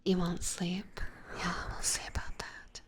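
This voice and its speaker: A young woman streaming ASMR, whispering softly into a close microphone as she chats with viewers. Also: lowish voice, completely flat delivery, measured pace. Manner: soft whisper, reading and answering chat. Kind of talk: whispered ASMR livestream chat.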